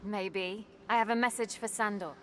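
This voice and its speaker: A woman's voice: medium pitch, steady tone, normal speed, slight background noise, close to an everyday voice. An actress playing a self-assured female veteran soldier in a video game, with a dry, unflappable manner. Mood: cool, curt. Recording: very loud music behind the voice. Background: loud game music.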